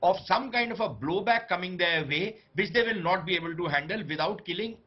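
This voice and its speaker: A man's voice: sits high, expressive, fairly quick, lo-fi sound. A man giving opinionated, sardonic commentary on a live TV news debate. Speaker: panel commentator.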